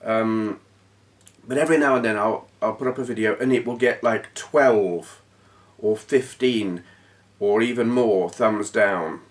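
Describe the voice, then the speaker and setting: A man's voice: on the low side, measured pace, recorded from a distance. A middle-aged man with a stuffy nose from a cold, frankly chatting to camera as a channel host. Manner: frank and blunt, with restarts and short laughs. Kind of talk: casual talking-head vlog.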